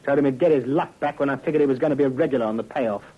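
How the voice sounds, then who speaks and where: A man's voice: medium pitch, rapid-fire, slight background noise, lightly acted. An actor, a man, playing a cocky, sardonic ex-convict suspect with glib, slangy talk in a vintage radio drama. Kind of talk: 1950s radio drama acting. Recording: muffled old radio recording.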